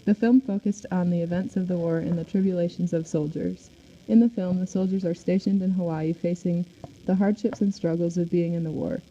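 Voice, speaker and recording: A woman takes the part of a 1940s film and music star answering questions on a radio show. The delivery is recited, in a slightly low and very soft-toned voice. The sound is dull and a little noisy. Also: fairly even intonation, fairly quick, lightly acted. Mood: composed, recited. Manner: reading from a script, recited. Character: famous male 1940s singer and film star, interviewed. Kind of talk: amateur scripted radio show. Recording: dull recording with faint noise.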